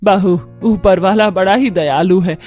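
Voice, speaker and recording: Guy doing a worried old mother-in-law in a moral story, high voice, pious and lamenting. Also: unhurried, darkish tone, faint music behind. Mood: hopeful, urging. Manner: lamenting, exhorting. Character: anxious, pious elderly mother-in-law in a poor household.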